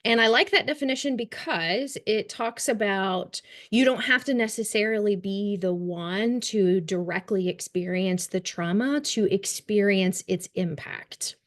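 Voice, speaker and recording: A woman's voice: mid-range voice, unhurried, few pauses. A woman with a Kentucky accent giving a trauma-informed care webinar on a video call, chatty and full of ums and light laughs. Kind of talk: webinar presentation.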